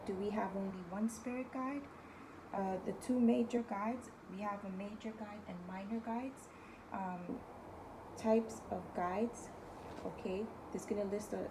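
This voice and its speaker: A woman with a New York accent hosting a casual spiritual talk as a tarot reader, chatty and often checking in with her viewers, her voice hoarse from overuse. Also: mid-range voice, background noise. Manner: chatty, keeps asking okay guys. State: losing her voice. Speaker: tarot reader and video host.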